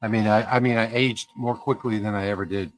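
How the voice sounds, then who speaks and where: A man's voice: on the low side, fairly even intonation, brisk, no room sound, poor audio. A man in his fifties hosting a casual livestream, talking in a rambling way with fillers and restarts. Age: 50s. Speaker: livestream host.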